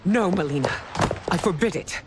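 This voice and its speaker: A woman's voice: lowish voice, animated, moderate pace, background noise, natural voice. An actress playing a proud, stern empress in a video game, haughty and commanding in her formal speech. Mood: alarmed, forbidding. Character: proud, imperious empress and mother, stern and wary. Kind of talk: dramatic video game cutscene acting.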